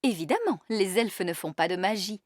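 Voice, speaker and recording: A woman's voice: medium pitch, animated, moderate pace, dry recording, studio quality, close to an everyday voice. A woman voicing a prim, bossy, snobbish fairy nanny in a children's cartoon, speaking like a pointed schoolmistress. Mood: snooty. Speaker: nanny and tutor character. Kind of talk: animated children's cartoon dub.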